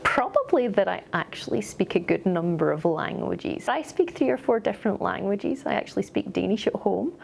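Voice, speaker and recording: Woman, Scottish accent, middle-aged, upbeat executive, fry in the voice, faint background hiss. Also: mid-range voice, animated, normal speed, few pauses, a little mellow. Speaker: company executive. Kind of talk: corporate video interview.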